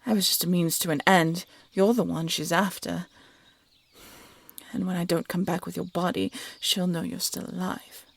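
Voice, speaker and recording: Woman acting a grumbling, exasperated huntress, slightly husky. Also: fairly low pitch, little pitch movement, moderate pace, no echo. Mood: wry, grudging. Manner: grumbling, sarcastic, blunt. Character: exasperated young woman hunter, gruff failed assassin, secretly soft-hearted. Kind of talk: scripted roleplay audio drama acting.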